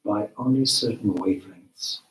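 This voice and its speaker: Male meditation guide: lowish pitch, very unhurried, deliberate delivery with long pauses, recorded in an echoing room. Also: a bit bright. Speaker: meditation teacher, swami. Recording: roomy, echoing space.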